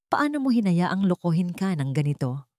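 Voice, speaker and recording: Woman voicing a soft, coldly resolved man. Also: lowish voice, very animated, normal speed, no echo. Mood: bitter, self-reproachful. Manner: soft and sweet with hidden coldness. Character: betrayed billionaire man, tender surface hiding cold resolve.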